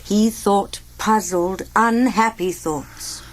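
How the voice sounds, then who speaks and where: A woman reading a children's read-along story aloud, expressive and sing-song, acting out the sound effects. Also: mid-range voice, slow, rough recording. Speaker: storybook narrator.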